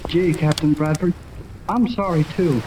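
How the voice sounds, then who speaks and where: An actor playing an earnest, excitable young American radio operator in a 1930s radio serial. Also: male voice, a little high, a little slow, close to an everyday voice. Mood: sympathetic. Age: young adult. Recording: noisy old radio recording, steady crackling hiss.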